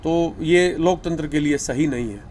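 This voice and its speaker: A man with a high voice and a Haryanvi accent speaks as a farmers' activist, making an appeal to the government. He talks deliberately in short emphatic phrases, with faint noise behind him at an on-location news recording. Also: a little mellow. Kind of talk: spoken appeal to the government through media.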